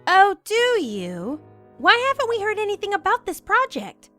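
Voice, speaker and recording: A teasing, girlish cartoon mare, with an extremely high voice that swoops wildly. Soft music plays behind the story. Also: huge pitch swings, moderate pace, heavily acted. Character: teasing, girlish grown pony mare, protective guardian. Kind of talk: cartoon fan fiction audio drama.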